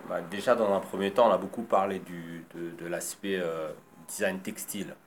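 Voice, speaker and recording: A man born in Congo and raised in France, a designer answering interview questions in a halting way with many fillers. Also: deep voice, fairly even intonation, slight background noise.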